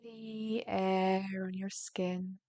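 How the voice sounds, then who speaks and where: Female meditation guide, mid-pitched and very unhurried, soft and soothing, breathy phrase endings, relaxed warm tone. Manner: soothing, gentle, drawn-out phrases with long pauses. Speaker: yoga nidra meditation guide.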